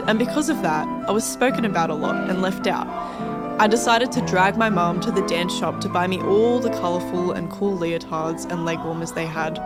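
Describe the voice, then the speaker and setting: A woman with an Australian accent reading scripted narration as a radio host. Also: on the low side.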